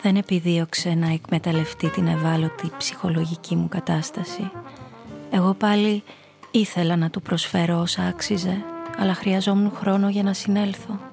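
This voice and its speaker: An actress playing a hard-boiled femme fatale who narrates her downfall in a radio drama, in a measured, confessional way. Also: on the low side, level tone, gravelly, a little mellow, faint music behind. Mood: somber, tense.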